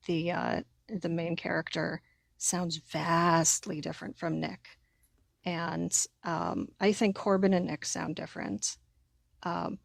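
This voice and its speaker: A woman co-hosting a podcast in a casual, informal way, halting often as she works out her thoughts. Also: fairly low pitch, gravelly, darkish tone, dry recording, studio quality. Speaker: podcast co-host. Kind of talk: casual chat.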